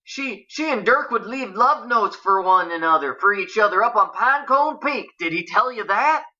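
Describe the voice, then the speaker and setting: A woman's voice: medium pitch, expressive, normal speed, sharp tone, muffled recording, pitched above the performer's own voice. A woman voicing a chatty, folksy male ranch cook with an informal, gossipy manner in a story.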